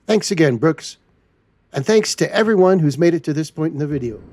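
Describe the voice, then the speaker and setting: A man hosting a trucking game video, speaking in a relaxed, plain way as he signs off. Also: on the high side, brisk. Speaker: channel host. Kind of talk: role-play sign-off for a trucking game video.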